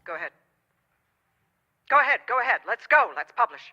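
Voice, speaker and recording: A middle-aged woman publisher in a radio drama. She narrates in a clear-edged bright voice, reflective and candid, with poise and quiet resolve. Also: a little high, big pitch swings, brisk, highs cut off, heavily acted. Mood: nervous resolve. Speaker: narrator and newspaper publisher character.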